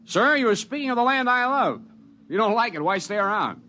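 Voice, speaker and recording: High-pitched, brash American mountain man. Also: animated, a little fast, bright, forceful tone, a little hiss or hum, full character voice. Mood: wry, assertive. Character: brash, wisecracking male mountain local who loves his country. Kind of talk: old-time radio drama acting.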